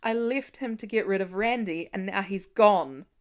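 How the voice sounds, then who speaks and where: A woman's voice: medium pitch, moderate pace, natural voice. Woman voicing a protective, wry, anxious dad. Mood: frantic. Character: protective middle-aged father, gruff then frantic with worry.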